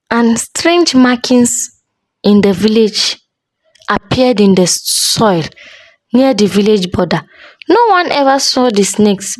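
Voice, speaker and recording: A woman storyteller narrating a scripted folktale in a measured, dramatic way with deliberate pauses. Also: a little high, no echo, poor audio.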